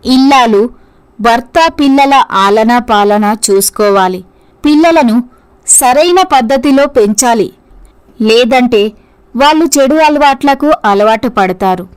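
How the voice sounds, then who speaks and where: A woman playing a dutiful, principled housewife and mother in a scripted story, speaking earnestly and in measured phrases. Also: a little high, clean tone, lightly acted, low-quality recording.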